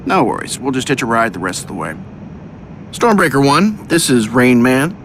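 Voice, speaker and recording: An actor playing a wisecracking armored superhero in a video game, American, with a breezy, sardonic delivery. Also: male voice, mid-range voice, sing-song, moderate pace, background noise, natural voice. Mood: breezy, cocky. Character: cocky, wisecracking male armored superhero inventor.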